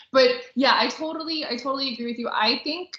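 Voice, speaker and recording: A woman chats casually as a podcast co-host. Her voice is notably bright, at a middling pitch. The recording is echoey and a bit dull, with the sound of the room around her. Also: moderate pace. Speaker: podcast co-host and romance author. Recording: roomy, echoey, slightly muffled podcast audio.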